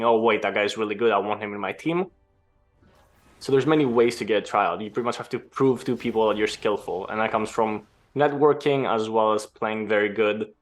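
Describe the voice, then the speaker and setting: A young man from Greece, a former pro gamer, answering interview questions in a relaxed, explanatory way. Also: lowish voice. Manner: relaxed, explanatory, some restarts.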